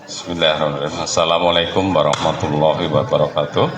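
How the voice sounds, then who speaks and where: A man in his sixties from Java, with a Javanese accent, introduces himself informally in short, halting phrases. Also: rumbling low, level tone, a crowd in the background. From Java, Indonesia. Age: 60s. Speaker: retired teacher introducing himself. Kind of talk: informal self-introduction to a gathering. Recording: echoey room.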